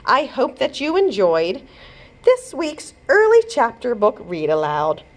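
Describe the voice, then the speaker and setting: A woman narrating an early chapter book aloud in an expressive storytime style. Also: on the high side, slow pace. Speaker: children's library read-aloud reader.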